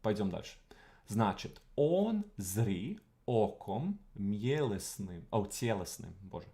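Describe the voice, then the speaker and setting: A man's voice: fairly low pitch, very animated, slow, creaky, dark tone. A young man casually teaching old Russian letters on a livestream, thinking aloud and switching between English and Russian words.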